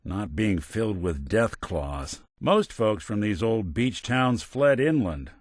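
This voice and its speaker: An actor playing a world-weary, loyal robot private detective in a video game, with wry, understated, old-fashioned hard-boiled noir phrasing. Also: male voice, fairly low pitch, expressive, slow, no echo, clean studio sound. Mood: wry, matter-of-fact. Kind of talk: video game character voice lines, film noir detective acting.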